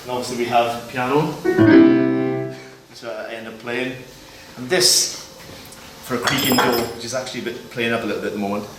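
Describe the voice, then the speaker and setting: Lower-voiced man, echoey and off-mic. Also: fairly even intonation, loud music behind the voice. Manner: explanatory, pausing to show each item. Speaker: Foley artist. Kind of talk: informal demonstration of sound-effect props. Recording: echoey, distant mic, loud noise and clicks.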